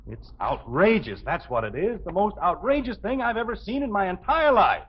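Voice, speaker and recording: A man's voice: high-pitched, animated, normal speed. A man with an American accent playing an exasperated, thrifty father in a 1950s radio sitcom, blustery, sarcastic and lecturing. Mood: indignant. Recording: muffled old radio recording.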